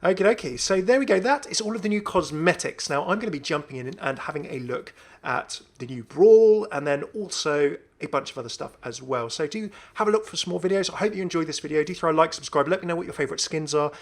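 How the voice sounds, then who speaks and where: A man's voice: a little high, lively intonation, fast talker, few pauses. A man giving casual, off-the-cuff video game commentary as a host, chatty and often musing aloud. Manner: chatty, musing aloud, asks himself questions.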